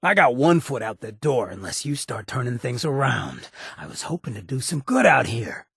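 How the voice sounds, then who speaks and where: An actor playing a swaggering, sardonic ghoul gangster companion in a video game, with a gravelly rasp and a wry, streetwise drawl. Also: male voice, mid-range voice, big pitch swings, moderate pace, fairly bright tone, dry recording, studio quality, heavily acted. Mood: annoyed, threatening. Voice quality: gravelly, raspy.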